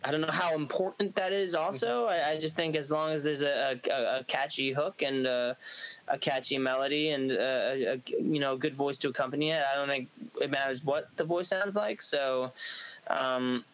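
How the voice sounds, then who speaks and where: A man's voice: normal speed, fairly bright tone, low-quality recording. A man, a band singer on a podcast, chatting casually with lots of restarts and easy laughter. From New York. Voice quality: light, high singing voice described as feminine. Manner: rambling with many restarts, laughs easily.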